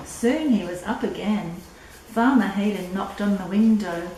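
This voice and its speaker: An older woman reading a picture book aloud to children, deliberate and drawn-out, with little asides. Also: medium pitch, dark tone. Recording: echoey room, distant mic, faint noise.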